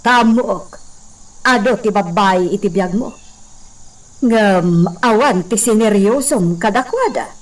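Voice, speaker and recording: An actress as a genteel old grandmother of a landed family in an Ilocano radio drama. Her voice is mid-pitched, slow and admonishing, picked up slightly away from the mic among crickets. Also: recorded from a distance, natural voice. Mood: puzzled, admonishing. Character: elderly grandmother, genteel landowning lady, wary and admonishing.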